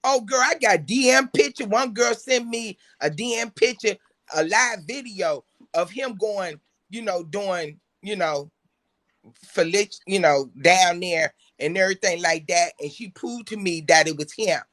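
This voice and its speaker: Woman, bright forceful voice, blunt, profane, casual relationship talk. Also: mid-range voice, a little slow. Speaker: talk show panelist. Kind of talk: casual group chat, relationship storytelling.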